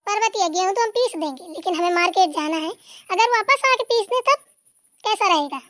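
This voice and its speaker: A performer voicing a busy, hospitable older village aunt in a Hindi cartoon, brisk and insistent. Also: very high-pitched, expressive, sharp tone, dry recording, studio quality, full character voice. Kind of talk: Hindi family cartoon dialogue.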